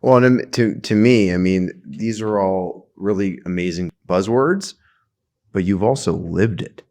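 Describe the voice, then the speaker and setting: A gravelly deep-voiced male podcast host. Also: a little mellow. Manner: halting, rambling restarts. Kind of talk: casual conversation.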